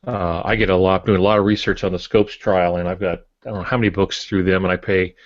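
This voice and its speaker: An older man with a fairly low voice talks casually as a podcast co-host, offering advice in a panel discussion. The recording is dry and a little dull. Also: level tone, fast, dry recording. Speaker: podcast co-host and writing teacher.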